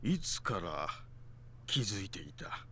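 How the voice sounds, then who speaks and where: An actor playing a werewolf disguised as a security guard, cautioning in an ominous way in a visual novel. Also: male voice, deep voice, sing-song, normal speed, pushed and bright, a little hiss or hum, heavily acted. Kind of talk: Japanese visual novel acting.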